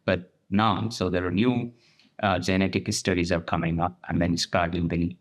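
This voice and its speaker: A young man with a Nepali accent, a conservation researcher explaining his work in halting, thoughtful sentences with restarts. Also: sits low, level tone. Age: young adult. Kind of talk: casual conversation.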